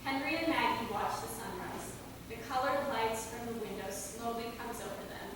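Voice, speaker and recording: A woman reads stage directions as a narrator, from far off in a long-reverb hall. Her voice is fairly high, with clear noise around it. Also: moderate pace. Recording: echoey hall, distant mic, noisy.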